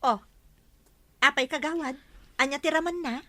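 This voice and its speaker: A woman playing a lively, gossipy village neighbour in an Ilocano radio comedy drama, with an Ilocano Filipino accent. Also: high voice, normal speed. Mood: grumbling.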